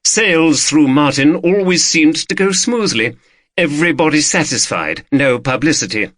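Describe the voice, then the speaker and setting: A man's voice: on the high side, animated, moderate pace, fairly bright tone, no echo, clean studio sound, voice pushed up. A man voicing a wealthy, haughty woman collector in an audiobook, gracious, discreet and imperious. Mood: discreet, assured.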